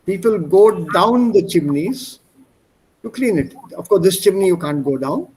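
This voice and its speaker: A male teacher on a video call with a high voice, talking slowly. He explains German words patiently and switches into English to make them clear. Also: animated, a little mellow. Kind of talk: online language class.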